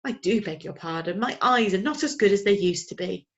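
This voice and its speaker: A woman's voice: mid-range voice, expressive, fairly quick, muffled recording, lightly acted. A woman voicing a kindly but fussy old lady who speaks in a prim, genteel, chiding manner. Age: older.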